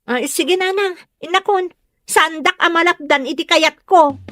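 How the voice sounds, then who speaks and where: A woman's voice: extremely high pitch, measured pace, fairly bright tone, soft background music. A woman playing an earnest, stubborn young village woman, hurt by gossip, in an audio drama. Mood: hurt, defiant. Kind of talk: Ilocano audio drama acting.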